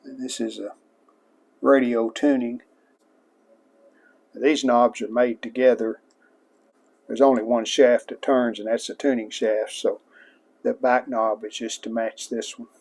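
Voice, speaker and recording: A man's voice: medium pitch, little pitch movement, unhurried, long pauses, darkish tone. A man casually narrating his own hands-on restoration work as a hobbyist host, in a plain-spoken, show-and-tell way.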